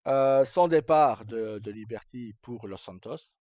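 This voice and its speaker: A man chatting casually as a livestream host, telling roleplay stories in a halting, rambling way and often repeating words as he goes. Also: mid-range voice, no room sound. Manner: halting, rambling, frequent self-repetition. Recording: dull lo-fi mic, dry.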